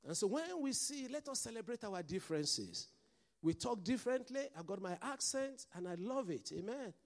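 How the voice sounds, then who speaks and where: A man's voice: sits high, very animated, moderate pace. A man with a mixed Nigerian and Scottish accent, preaching a sermon as pastor and calling out to the congregation for responses.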